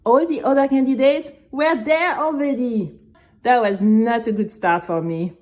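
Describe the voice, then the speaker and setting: A woman playing a nervous, chatty young girl who tells her story in a conversational, expressive way. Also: fairly high pitch, measured pace, close to an everyday voice. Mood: anxious, animated. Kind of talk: first-person scripted audio drama narration.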